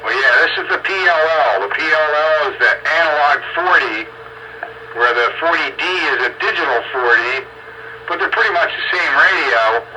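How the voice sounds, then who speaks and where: Man, slightly high voice, casual radio chat, CB operator, clear static noise. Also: animated, moderate pace, sharp tone. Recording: noisy CB radio transmission.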